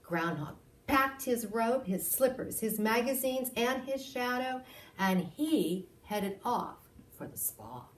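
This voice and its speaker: Woman, storytime reader, slow and expressive, lively pitch swings, dramatic pauses, roomy echo. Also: a little hiss or hum. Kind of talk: animated picture-book read-aloud for children. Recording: roomy echo, distant microphone.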